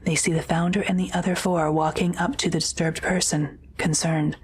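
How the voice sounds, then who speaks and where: A woman's voice: fairly low pitch, level tone, moderate pace, slight background noise. A woman reading scripted present-tense narration for an audio drama, as the narrator.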